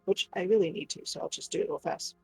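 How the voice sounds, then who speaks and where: A woman giving a casual show-and-tell of her crafts on a livestream, explaining in a halting, self-interrupting way. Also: lowish voice, warm tone, husky, faint music behind. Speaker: crafter showing crochet projects on a livestream.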